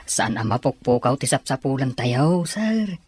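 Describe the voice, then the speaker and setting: Husky, deferential male assistant played by a man. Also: mid-range voice, sing-song, a little slow, a little mellow. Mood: apologetic. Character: deferential male assistant reporting to his boss. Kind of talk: Ilocano radio-style serial drama acting.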